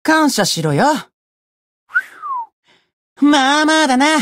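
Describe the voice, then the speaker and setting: A woman voicing a cocky, bratty young demon who boasts pompously in rough slang, in a Japanese game character style. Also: high-pitched, big pitch swings, slow, a bit bright, a little hiss or hum, full character voice. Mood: impatient, haughty.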